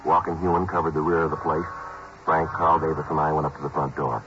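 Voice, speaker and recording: An actor playing a terse, matter-of-fact police detective who also narrates, in a 1950s radio drama. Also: male voice, very deep voice, fast talker. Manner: clipped, flat, matter-of-fact delivery. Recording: muffled old radio broadcast with hiss.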